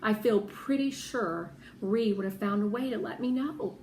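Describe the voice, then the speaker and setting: A woman's voice: mid-range voice, normal speed, a little mellow, pitched above the performer's own voice, close to an everyday voice. Earnest young girl, read by a woman, echoey room. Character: earnest, anxious young girl in a children's novel. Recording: roomy echo with background noise.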